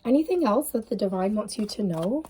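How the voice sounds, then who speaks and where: Soft-toned woman giving a tarot reading. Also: medium pitch, lively intonation, brisk, slight background noise. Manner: earnest, intuitive, many fillers like "like" and "um". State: sniffly, tired voice. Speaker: tarot reader.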